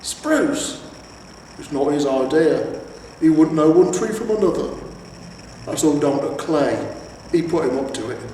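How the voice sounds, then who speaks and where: A man's voice: fairly high pitch, lively intonation, unhurried, long pauses, warm tone. Man voicing a glum grumbling troll, echoing heavily. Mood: complaining. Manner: rambling, complaining. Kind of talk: storybook character reading. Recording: echoey reverberant room, distant, faint hiss.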